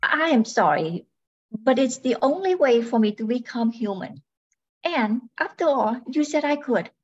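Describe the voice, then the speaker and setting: A woman playing a polite robot wife in a radio play, speaking in a measured, matter-of-fact, coolly apologetic way. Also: fairly high pitch, lively intonation, slightly rough, highs cut off. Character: polite robot wife draining brain cells to become human.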